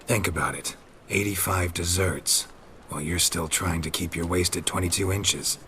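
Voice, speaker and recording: An actor playing a gruff, weary monster hunter in a video game, terse and deadpan with a low gravelly voice. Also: male voice, normal speed, slight background noise. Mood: dry, wry. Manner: terse, deadpan, clipped sentences. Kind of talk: video game dialogue acting.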